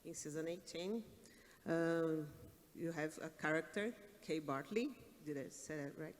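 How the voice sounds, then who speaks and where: A man moderating a panel, asking questions in a hesitant, halting way, recorded in an echoey hall. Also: on the high side. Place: large indoor conference hall.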